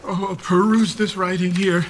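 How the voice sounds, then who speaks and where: Old outraged duke, radio Shakespeare, slow declamation, tense bright tone, vehement, reverberant noisy hall. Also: male voice, sits high, level tone. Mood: outraged, stern. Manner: declamatory, vehement verse delivery. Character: stern, outraged old duke, unforgiving father. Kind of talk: Shakespearean radio drama acting.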